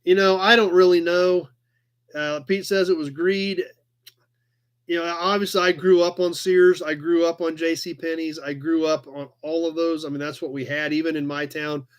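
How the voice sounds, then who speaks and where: American male livestream host, forties, slightly high voice, easygoing rambling chat delivery. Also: level tone, normal speed, clean tone. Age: 40s. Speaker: live chat show host. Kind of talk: casual rambling livestream chat, reading and answering viewer comments.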